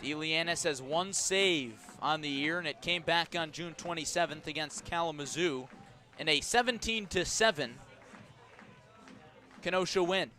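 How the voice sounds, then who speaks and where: A man calling a baseball game live on radio as play-by-play announcer, measured between pitches and emphatic when the action comes. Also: pushed and bright. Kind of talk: live sports radio commentary.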